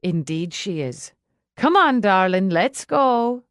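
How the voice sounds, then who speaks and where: A woman's voice: mid-range voice, sing-song, dry recording. A woman as a suave, dryly amused husband. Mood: tender, assured. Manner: mild, dry, unhurried. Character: suave, mild, wryly amused devoted husband.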